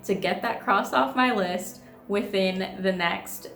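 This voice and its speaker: A woman's voice: mid-range voice, takes it slowly, a bit bright. Bubbly thirty-year-old woman vlogger. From United States. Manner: bubbly, chatty and upbeat. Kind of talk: casual vlog chat. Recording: echoey room with faint music.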